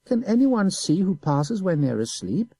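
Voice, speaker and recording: A man's voice: high voice, big pitch swings, a little slow, dark tone, voice pushed up, heavily acted. A man voicing a sly young boy who mocks the soldiers with a pert, sneering manner. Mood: sly mocking. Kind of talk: audiobook character voice.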